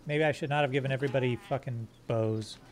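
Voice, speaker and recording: A man streaming a game live, joking crudely with his chat in a fairly monotone voice while sorting his squad's gear. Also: medium pitch, fast talker, slight background noise. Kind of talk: live gameplay commentary.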